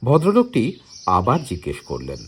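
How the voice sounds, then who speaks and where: A man narrating a literary story from a script in an expressive way. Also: mid-range voice, normal speed, slight background noise. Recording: music behind the voice. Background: plucked guitar-like music.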